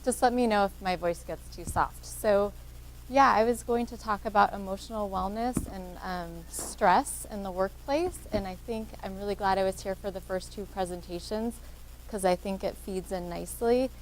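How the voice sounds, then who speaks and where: A woman with a soft voice, a social worker giving an interactive workshop talk and encouraging answers from the audience. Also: medium pitch, normal speed, slight background noise, low-quality recording.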